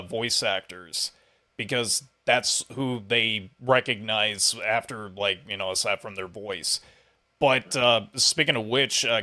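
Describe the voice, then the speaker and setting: A man's voice: on the low side, pushed and bright. A man hosting an interview podcast, talking in a casual conversational style.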